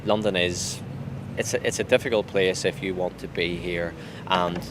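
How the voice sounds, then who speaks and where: A Northern Irish man talks in a very low, level voice, hesitant and measured, and he often pauses on fillers. City traffic is clearly audible behind him outdoors. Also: steady tone. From Northern Ireland. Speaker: interviewee. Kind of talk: reflective personal account.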